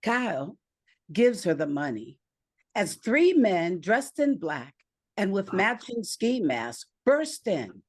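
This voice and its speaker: Woman narrator reading a script, really slow and deliberate, dry close sound. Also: medium pitch, big pitch swings, slightly rough, no echo. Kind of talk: scripted narration, screenplay read aloud.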